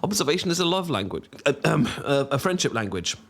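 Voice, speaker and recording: A young man with a British accent performs an intimate roleplay as if chatting to someone close. His delivery is playful and affectionate, with gentle teasing. He often hesitates bashfully, which makes the scripted lines sound like casual conversation. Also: a little high, sing-song, quick pace, creaky.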